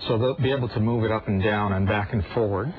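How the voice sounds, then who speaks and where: A man's voice: on the low side, fast. A man from a theatre crew explaining his work informally. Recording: dull, noisy workshop recording.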